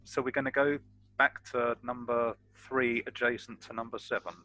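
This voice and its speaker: A man with a bright, forceful voice and a mid-range pitch. He talks casually as a player in a tabletop game. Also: fairly even intonation, moderate pace, rough recording.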